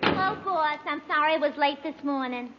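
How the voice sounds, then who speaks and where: An actress plays a flippant secretary in vintage radio comedy. Her voice is high. It sits in long hall reverb on a very noisy old recording. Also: normal speed, muffled recording, lightly acted. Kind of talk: 1940s radio comedy acting.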